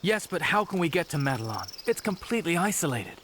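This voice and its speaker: An actor playing an earnest, brave young rebel hero in a video game, resolute in manner. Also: male voice, a little high, sing-song, fast talker, background noise. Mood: concerned. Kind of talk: video game cutscene acting. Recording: echoey, loud music bed.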